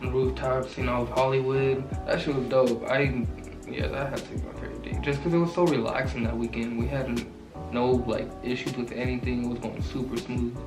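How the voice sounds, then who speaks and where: A man's voice: medium pitch, flat delivery, fairly quick. Laid-back nineteen-year-old guy, echoey room. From Southern California. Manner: laid-back slangy rambling. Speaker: vlogger. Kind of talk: casual couple Q&A chat. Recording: roomy echo, mic far off, music behind.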